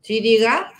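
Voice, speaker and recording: A Mexican woman demonstrating a craft project, explaining each step as she works. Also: high-pitched. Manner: explains step by step, warm and relaxed.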